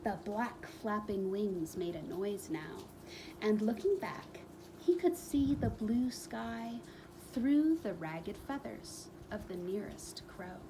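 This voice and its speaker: A woman reading a children's book aloud as a storyteller, expressively, with dramatic pauses and emphatic repetition. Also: mid-range voice, very animated, noisy background.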